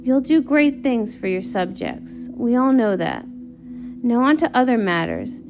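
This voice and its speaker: A woman's voice: on the high side, big pitch swings, measured pace, noisy background. A woman voicing a learned, ambitious old male unicorn wizard in a comic read-along, with a grand, reassuring manner.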